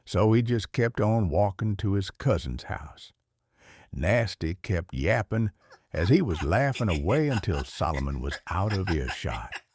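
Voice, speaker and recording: A man with a Southern US accent reading a children's storybook aloud in a folksy, expressive way. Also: sits low, a little slow, slight background noise. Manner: folksy, animated.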